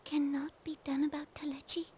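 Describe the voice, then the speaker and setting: A woman's voice: high-pitched, flat delivery, fairly quick, dark tone, slight background noise, natural voice. A woman playing an anxious, idealistic young queen in a fantasy game, speaking in a formal, earnest, archaic way. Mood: troubled, doubtful. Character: anxious, idealistic young female sovereign of a troubled realm.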